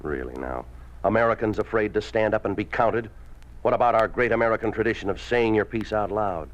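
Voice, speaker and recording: A deep-voiced communist official, played by a male actor, smooth and domineering, curt when giving orders and slick when persuading. It is 1950s radio drama acting, heard through a dull, muffled old recording. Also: fairly quick, natural voice. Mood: smoothly pressuring.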